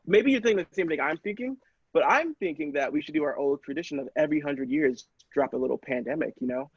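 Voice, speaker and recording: A man's voice: a little high, fast, breathy edge, no echo. A man playing a smug, scheming angel in an improvised comedy, recorded with a dull sound. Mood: eager, cheerful. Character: smug, scheming favorite angel, secretly evil.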